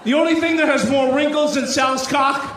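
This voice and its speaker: A man doing brash, profane insult stand-up, shouting to a live audience in a hall. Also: very high-pitched, steady tone, normal speed. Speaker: stand-up comedian. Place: on stage in a live music hall. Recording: echoey noisy club, far off, crowd.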